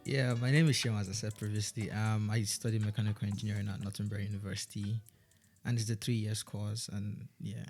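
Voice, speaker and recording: A man's voice: on the low side, little pitch movement, fairly quick, a little mellow, faint music behind. A young man from Nigeria with a Nigerian accent, a student guest on a podcast, talking casually and rambling with many restarts. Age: young adult. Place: podcast studio.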